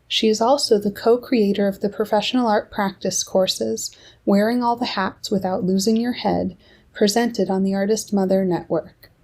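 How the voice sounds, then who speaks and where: Mellow woman reading a podcast intro. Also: mid-range voice. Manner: measured, steady reading. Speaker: podcast host.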